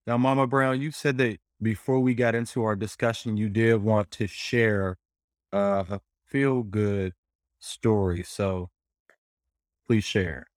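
A man co-hosting a casual podcast, sounding nasal from a summer cold, relaxed and friendly. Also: fairly low pitch, takes it slowly, dry recording, studio quality. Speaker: podcast co-host.

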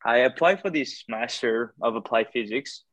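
A young man talks through his answers hesitantly, circling back with lots of ums and restarts. His voice sits at an ordinary middle pitch, recorded dry with no room sound at all. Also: expressive, normal speed, fairly bright tone, no room sound, lo-fi sound. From France. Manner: hesitant, many fillers and restarts. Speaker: podcast guest, doctoral student. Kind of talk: casual interview answers.

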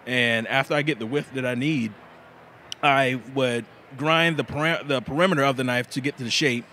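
Man calmly explaining his craft outdoors. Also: mid-range voice, moderate pace, slight background noise. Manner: plain, step-by-step, some laughs and fillers. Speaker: knifemaker. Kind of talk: interview answer.

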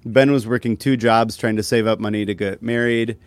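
A man chatting casually as a musician being interviewed, talking in a laid-back, self-deprecating way with halting phrasing. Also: fairly low pitch, steady tone, no room sound. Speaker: musician guest.

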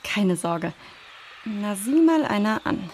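Assured, caring search leader. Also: female voice, medium pitch, sing-song, slow, a little hiss or hum. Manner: assured, reasoning, gently directing. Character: composed, caring female leader of a search party. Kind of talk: children's audio drama acting.

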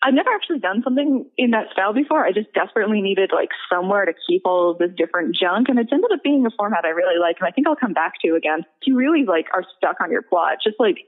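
A woman's voice: medium pitch, lively intonation, fast, hardly any pauses. Chatty woman on a tinny phone-like line. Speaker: guest, audio drama writer and producer. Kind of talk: casual conversational interview.

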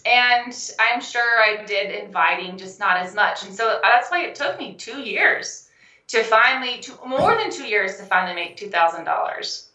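A young adult woman, a health coach and guest, telling her story in a casual interview, animated and laughing often. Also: mid-range voice, normal speed, roomy sound, dull audio. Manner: animated, laughs often, acts out quoted phone calls.

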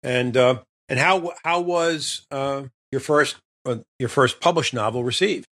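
A man's voice: mid-range voice, a little slow, a bit bright. A man hosting an interview, asking long, rambling questions packed with "you know" and "uh". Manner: rambling, long leading questions full of fillers. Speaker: interviewer host. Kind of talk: conversational interview.

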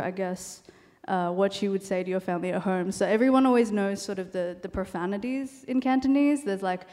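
A novelist woman from Australia, talking thoughtfully with many pauses on a panel and reading from her book. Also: fairly low pitch. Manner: soft, thoughtful, many ums. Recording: long hall reverb.

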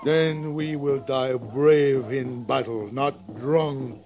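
A slow, stern Sioux man. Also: mid-range voice, fairly even intonation, a little mellow, lo-fi sound. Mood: stern, grave. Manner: slow, solemn, stilted broken English. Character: stern, proud Sioux man set on war. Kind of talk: 1950s radio drama acting.

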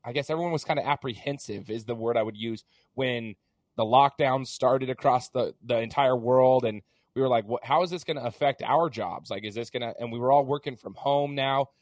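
A man hosting a podcast interview in a casual, chatty way, hoarse as he gets over an illness. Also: mid-range voice, brisk, no room sound, studio quality. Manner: chatty, many fillers and restarts. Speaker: podcast host.

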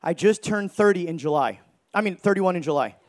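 A man in his thirties preaching a sermon with energy, joking with the crowd and shouting for emphasis. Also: high-pitched, animated, fairly quick. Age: 30s.

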